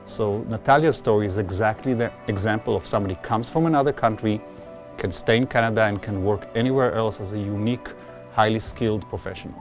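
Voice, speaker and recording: A man's voice: on the low side, fast, faint music behind. A man speaking earnestly as a mentor in a documentary interview, giving emphatic advice. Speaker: physician-scientist mentor.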